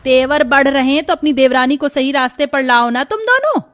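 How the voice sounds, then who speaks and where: A woman's voice: sits high, lively intonation, fairly quick, a bit bright. A woman voicing a stern older mother-in-law in a cartoon story, lecturing and reproachful. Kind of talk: Hindi cartoon story dialogue.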